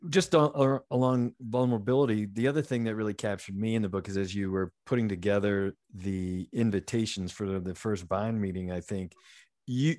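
A man's voice: lowish voice, darkish tone, no room sound. Boston-tinged man in his fifties, dry close sound. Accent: slight Boston. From Massachusetts, United States. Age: late 50s. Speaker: podcast co-host, interviewer. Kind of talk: casual conversational interview.

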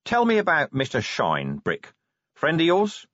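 A man's voice: fairly high pitch, huge pitch swings, measured pace, a bit bright, dry recording, voice pushed up, full character voice. A man doing a weary, sardonic watch commander. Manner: dry, wearily sarcastic. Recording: dry, slightly dull recording.

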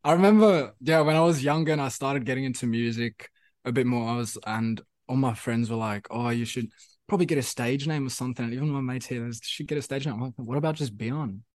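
A man's voice: mid-range voice, fast talker, a little mellow, no echo. A young man from South Africa whose first language is Afrikaans, speaking English with a South African accent in a casual interview, rambling and repeating himself with many fillers. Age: young adult. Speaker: musician guest.

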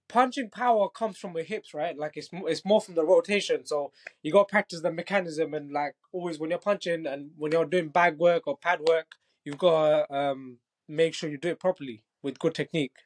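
A young fighter in his twenties from Bangladesh speaks in a relaxed, chatty way, often checking in with his listener. His voice sits slightly higher than most men's. He sounds easygoing and confident. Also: normal speed. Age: 20s. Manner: laid-back, repeats "do you know what I mean", laughs easily. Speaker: Muay Thai fighter, guest. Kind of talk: casual interview chat.

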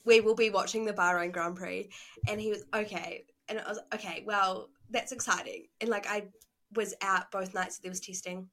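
A young woman who is a university student co-hosts a podcast from her hall room. She sounds tired and a little rough from a recent cough. She talks in an easygoing, rambling way. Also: medium pitch, fairly even intonation, normal speed, fairly bright tone. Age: young adult. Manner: laid-back, rambling. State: tired, getting over a cough. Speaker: podcast co-host. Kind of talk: casual chat.

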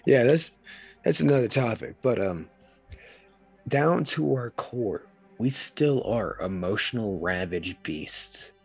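A man hosting a casual podcast, hoarse from a sore throat and talking in an earnest, rambling way. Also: mid-range voice, animated, slow pace, soft background music. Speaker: podcast host.

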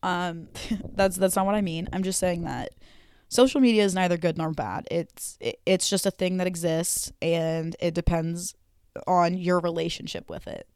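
A woman's voice: fairly low pitch, fairly even intonation, normal speed, a little mellow, smooth, dry recording, clean studio sound. Frank young woman hosting a solo podcast. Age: young adult. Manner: rambling, frank, swears casually, many ums. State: voice a bit hoarse. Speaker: podcast host. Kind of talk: casual solo podcast chat.

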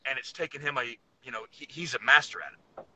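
A man's voice: mid-range voice, brisk, bright, forceful tone, dry recording, rough recording. A man co-hosting a casual sports podcast, talking earnestly and emphatically, piling up intensifiers. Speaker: podcast co-host.

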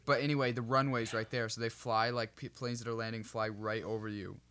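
A man's voice: mid-range voice, level tone, fairly quick, sharp tone. A young man co-hosting a podcast, explaining things in a casual, conversational way. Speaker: podcast co-host.

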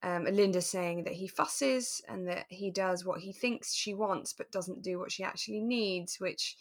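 A woman with a mid-pitched voice co-hosts a relaxed podcast. She recaps in a measured, thoughtful way, qualifying her points as she goes. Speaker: podcast co-host. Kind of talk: relaxed chat recapping a radio drama.

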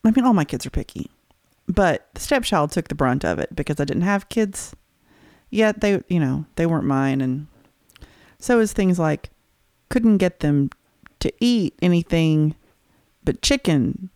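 Gravelly-voiced middle-aged woman, dry close sound. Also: fairly low pitch, lively intonation, a little slow, darkish tone, no echo. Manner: attentive listener, frequent short agreements, dry teasing. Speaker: podcast co-host. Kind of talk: casual chat.

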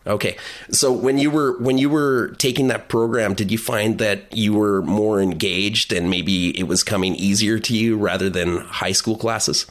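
A man's voice: fairly low pitch, fairly even intonation, moderate pace. A man hosting a casual interview, putting questions in an easygoing, inquisitive, conversational way. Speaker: podcast host.

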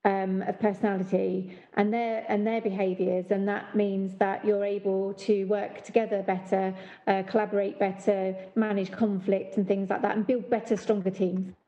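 Mellow-voiced woman coach in her fifties. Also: medium pitch, flat delivery, moderate pace, few pauses, muffled recording. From East End of London. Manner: enthusiastic, explanatory. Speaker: executive coach. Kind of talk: podcast guest discussion.

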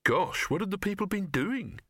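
An earnest young man from a storybook, read by a male narrator. He talks plainly and politely, with mild puzzled curiosity. The voice is bright and dry. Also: high voice, lively intonation, moderate pace, no echo, pitched above the performer's own voice, full character voice. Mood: curious.

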